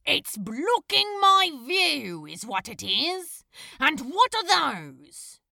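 A woman's voice: high-pitched, very animated, very slow, creaky, sharp tone, exaggerated voice. A woman playing an exasperated, volatile demon man who splutters indignantly, in a fan audio drama.

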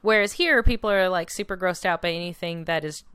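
A woman's voice: lowish voice, brisk, fairly bright tone, clean tone, no room sound, clean studio sound. A woman in casual, informal conversation, with a wry and playful manner. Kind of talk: casual chat.

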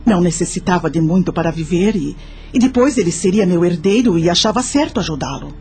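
A woman's voice: fairly low pitch, expressive, brisk, darkish tone. An actress playing a lonely, grieving elderly woman with a Brazilian accent, recounting her life in a radio drama. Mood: wistful, reflective. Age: older. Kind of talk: radio drama narration in character. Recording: music under the voice.

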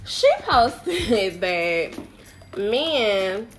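Young woman podcast host, nasal and a bit sick, easygoing and playful, mid-pitched voice. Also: very animated, extremely slow, soft background music, low-quality recording. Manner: chatty, playful, self-deprecating, slangy asides. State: sick with a summer cold. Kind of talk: casual solo podcast chat.

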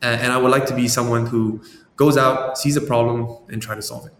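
A man from Malaysia in his thirties talks through his answers in a thoughtful, searching way, stringing in fillers and rhetorical checks with the listener. His voice sits at an ordinary middle pitch. The sound is roomy, with a long trailing reverb and a faint low rumble underneath. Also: steady tone, fairly quick, fairly bright tone. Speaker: startup founder and CEO guest. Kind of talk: interview answers.

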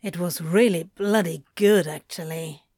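A woman's voice: mid-range voice, animated, takes it slowly, no room sound. Woman acting a defensive supernatural male, muttering, snappish, evasive, foul-mouthed, storybook character, close dry recording. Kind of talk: fan fiction audiobook character voice.

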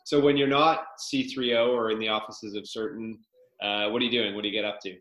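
Bright-voiced podcast host, echoey room. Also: male voice, lowish voice, steady tone, brisk. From Canada. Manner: upbeat, enthusiastic, loose with fillers. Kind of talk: casual podcast interview.

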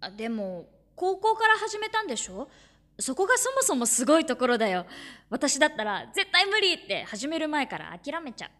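A woman playing an earnest, shy high school girl in a Japanese audio drama, gentle and hesitant in manner. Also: very animated, a little fast. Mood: encouraging. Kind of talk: Japanese audio drama acting with first-person narration.

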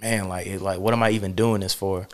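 A man with a lowish voice talks on his own podcast in a casual, meandering way. He circles back on himself and leans on filler words as he works through his thoughts. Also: steady tone, quick pace. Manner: rambling, heavy on filler words and restarts. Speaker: podcast host.

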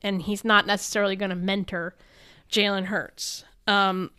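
A woman's voice: mid-range voice, little pitch movement, moderate pace, pushed and bright, clean tone, no room sound, clean studio sound. A woman co-hosting a sports talk show, chatting casually and giving her opinions as she recaps the news.

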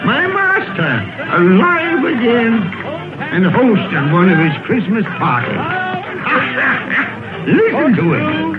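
A man's voice: very high-pitched, wildly swooping pitch, slow, narrow, tinny audio, exaggerated voice. A man acting an old, emotional, remorseful miser in a theatrical old-time radio drama style, exclaiming and repeating his words. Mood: joyful. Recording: old radio broadcast, thin band-limited, music behind.

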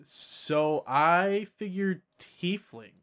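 A man's voice: high voice, extremely slow, fairly bright tone. A man chatting casually as a podcast host about tabletop gaming, often repeating words and trailing off.

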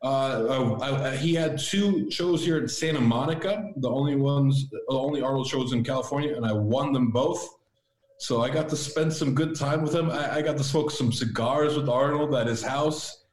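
A man who grew up speaking Latvian, a podcast guest talking in an earnest, reflective way with halting restarts. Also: medium pitch, darkish tone. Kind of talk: casual interview chat. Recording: roomy echo.

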